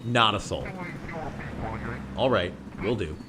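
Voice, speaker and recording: A man's voice: fairly low pitch, animated, slow, noisy background. A man playing an arrogant, short-tempered scientist in an audio drama, sarcastic and belittling in manner. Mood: curt, dismissive.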